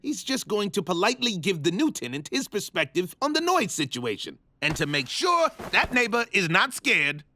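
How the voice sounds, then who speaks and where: A man's voice: high voice, wildly swooping pitch, normal speed, hardly any pauses, pushed and bright, a little hiss or hum, exaggerated voice. A man voicing a big, earnest, hot-headed teenage turtle in a cartoon, with a blustery manner. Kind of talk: animated cartoon voice acting.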